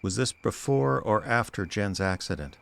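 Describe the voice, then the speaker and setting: An actor playing a wry, weary male private investigator, deadpan and sardonic, in radio drama narration and dialogue. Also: fairly low pitch, moderate pace, vocal fry. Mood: dry, probing. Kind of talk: first-person noir narration and dry radio drama dialogue.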